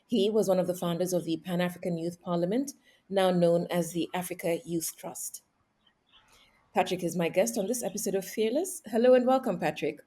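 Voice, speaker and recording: A woman hosts an interview, with a slightly low and mellow voice. She introduces her guest and asks deliberate, carefully paced questions. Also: slightly rough. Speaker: podcast host.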